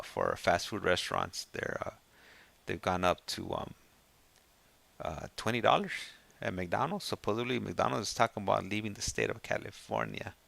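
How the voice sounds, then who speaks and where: A middle-aged man talks casually in a low voice with a bright edge. There is a gravelly fry to it, and he tells things haltingly, repeating himself as he hosts. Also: no room sound. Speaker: host of a prison call show. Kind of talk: casual chat.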